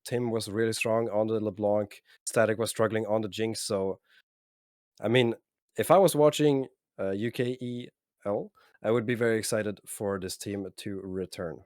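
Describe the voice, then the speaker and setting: A man casting esports, giving a casual, halting post-game analysis full of fillers. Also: fairly low pitch, steady tone, darkish tone, no room sound, studio quality. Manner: hesitant, many fillers and restarts, measured. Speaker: esports caster.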